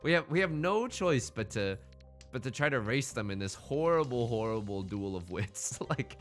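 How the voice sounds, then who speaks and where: Animated man doing casual game commentary. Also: medium pitch, normal speed, faint music behind. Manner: chatty, thinking aloud, slangy. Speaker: let's play host.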